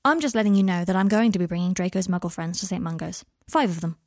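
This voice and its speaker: A woman's voice: lowish voice, animated, quick pace, a little mellow, dry recording, studio quality. A woman voicing a blunt, combative, protective male wizard in an audiobook, with clipped delivery. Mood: defiant. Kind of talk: audiobook character voice.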